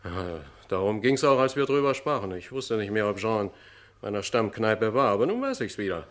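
A man's voice: on the low side, very animated, a little fast. A man playing a wary, blunt pub regular in a radio crime drama, speaking in a curt, colloquial way. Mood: wary, offhand.

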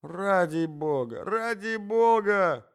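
A man voicing a cowardly, flustered gentleman who begs and sobs out excuses in an audiobook story. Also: a little high, lively intonation, moderate pace, close to an everyday voice. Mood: pleading panic. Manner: sobbing, begging, gabbling excuses. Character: cowardly, pleading intruder, pitiful flustered gentleman.

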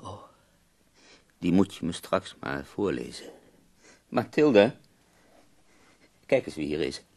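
An actor in a radio drama playing an ailing, bitterly ironic bedridden poet, weary and wryly self-mocking. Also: male voice, low-pitched, sing-song, slow, gravelly, long pauses, darkish tone, exaggerated voice. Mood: weakly pleased.

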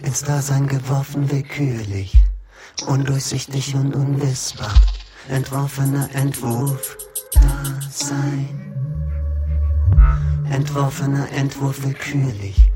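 A man playing a detached philosophical chanter in an avant-garde radio play, reciting clipped phrases in a monotone. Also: mid-range voice, slow pace, over loud music, close to an everyday voice. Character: detached philosophical chanter of being thrown into existence.

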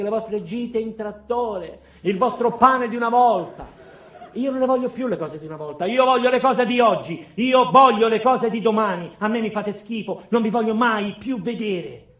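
A stage actor playing a furious, misanthropic man in a comic monologue, ranting with scornful, emphatic repetition. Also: high voice. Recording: roomy, echoey stage with background noise.

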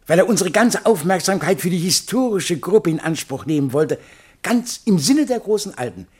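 A man's voice: high voice, expressive, normal speed, a little mellow, breathy edge. An actor playing a pompous, fawning man of letters in a radio play, speaking in ornate, self-important oratory. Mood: pompous, obliging. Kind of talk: theatrical radio play acting.

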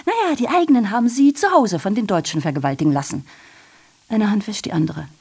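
A woman's voice: mid-range voice, lively intonation, fast talker, mellow, slightly rough. Sardonic messenger woman in radio drama. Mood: sardonic, agitated. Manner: rhetorical questioning, ironic asides. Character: sardonic female messenger reporting on war and ruin. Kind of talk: literary radio drama monologue.

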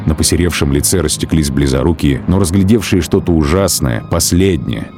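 A man narrating a radio drama in a measured, grave manner. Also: a bass voice. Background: music score.

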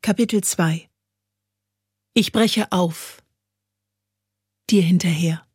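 A woman performing a literary spoken-word piece in a measured, poetic delivery. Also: lowish voice, a little mellow, slightly rough, dry recording, clean studio sound. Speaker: poetry performer. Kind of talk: literary spoken-word reading over music.

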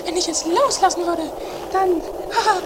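Scatterbrained sister for comedy radio play: squeaky, very high, dithering, whiny; noisy background. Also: moderate pace. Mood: scatterbrained, chatty. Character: Mathilde, scatterbrained whiny sister, fussy and dramatic. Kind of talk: improvised 1980s comedy radio play, travesty.

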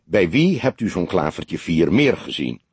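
A husky old male detective, voiced by an audiobook reader, patient and probing. He speaks in measured questions with dry mockery and a lively, varied pitch, recorded close and dry. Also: no room sound, full character voice. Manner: measured, probing, dryly mocking. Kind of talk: audiobook character dialogue.

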